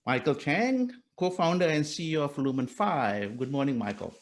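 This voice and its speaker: A man with a gravelly, fry-tinged voice hosts a panel discussion. He is cordial and welcoming and stumbles through frequent fillers and restarts. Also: a little high, animated, moderate pace. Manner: hesitant, many fillers and restarts. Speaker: panel moderator.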